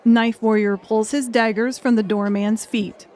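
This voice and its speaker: A woman's voice: a little high, steady tone, measured pace, darkish tone, a faint crowd. A woman reading scripted audiobook narration.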